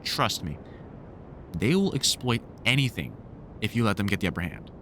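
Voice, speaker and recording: A man's voice: lowish voice, big pitch swings, normal speed, a bit bright, a little hiss or hum. A man playing a protective older vampire hunter and mentor in an audio roleplay, talking in a reassuring, wry and casually sweary way. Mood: earnest warning. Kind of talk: one-sided audio roleplay, conversational comfort scene.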